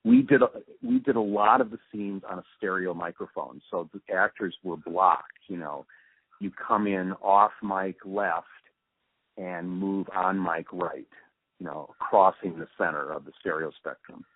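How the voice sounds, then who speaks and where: Deep husky guy getting interviewed, explains techy stuff haltingly, dry phone-line audio. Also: animated, no room sound. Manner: halting, thinking aloud as he explains technical work. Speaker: interviewee, radio drama post-production supervisor. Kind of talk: reflective interview answers. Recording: muffled narrow phone-like line.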